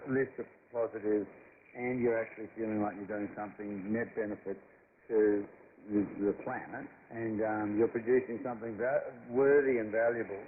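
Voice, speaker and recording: Halting Australian man over thin shortwave radio. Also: lowish voice, narrow, tinny audio. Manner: halting, with long hesitations. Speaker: farmer interviewee. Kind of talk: informal on-site interview talk. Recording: thin noisy shortwave radio, outdoor interview.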